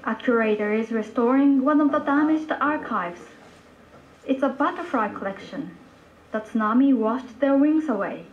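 A woman narrating a scripted news report, replayed over speakers in a room. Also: sits high. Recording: muffled, noisy playback in a room.

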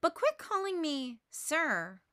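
A woman's voice: sits high, sing-song, takes it slowly, bright, forceful tone, pitched above the performer's own voice, exaggerated voice. A woman voicing a bossy, brash tomboy girl in a children's storybook, easily exasperated. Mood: brisk, bossy. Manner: brash, emphatic.

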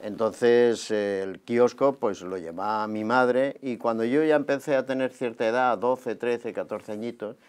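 A man in his fifties from Barcelona, a guest telling his life story in a relaxed, conversational way, recorded on a lapel mic in a small TV studio. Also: mid-range voice, unhurried, few pauses, clear, resonant tone. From Barcelona, Spain. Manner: relaxed and conversational, gesturing as he talks.

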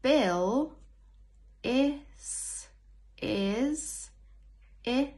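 A woman's voice: high voice, expressive, drawn-out words, a little mellow. A young woman, a primary school teacher, leading a read-along phonics lesson for small children, sounding out words letter by letter and putting questions to them.

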